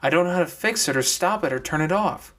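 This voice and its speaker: A man's voice: on the high side, expressive, fast, a bit bright, voice pushed up. A man playing an anxious but determined young woman in an audiobook, earnest and plain-spoken. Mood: uneasy.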